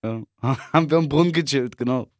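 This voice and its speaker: A man in his twenties from Berlin, a rapper guest giving relaxed, short answers with easy laughs. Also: mid-range voice, brisk, no echo, clean studio sound. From Berlin, Germany. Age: 20s. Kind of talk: casual interview chat.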